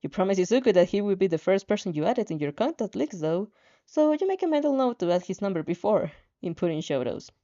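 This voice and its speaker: A woman's voice: medium pitch, big pitch swings, fast, mellow, dull audio. A woman reading a story expressively, with casual, playful asides and the odd stumble over words. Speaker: fan fiction reader reacting as she reads.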